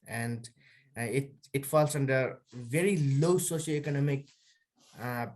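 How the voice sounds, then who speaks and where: A man's voice: mid-range voice, measured pace, a little mellow. A man in his thirties from Nepal with a Nepali accent, answering interview questions in a hesitant way with many fillers. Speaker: podcast guest, social worker.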